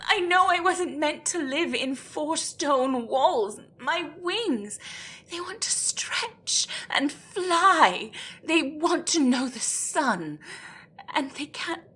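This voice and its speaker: Woman doing a scared gryphon girl in audio rp, highish voice, slow n halting, begging, very deferential. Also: expressive, fairly bright tone, slight background noise, exaggerated voice. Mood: yearning. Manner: halting, pleading, breathy stammering. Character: desperate, frightened female gryphon, pleading and deferential. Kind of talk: audio roleplay acting.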